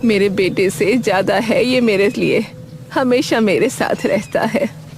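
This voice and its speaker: A woman voicing an anxious, devoted elderly village mother in an animated story, hesitant and pleading. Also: medium pitch, a little slow, slight background noise, close to an everyday voice. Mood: humble, tender. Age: older. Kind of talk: Hindi animated story dialogue. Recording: music under the voice.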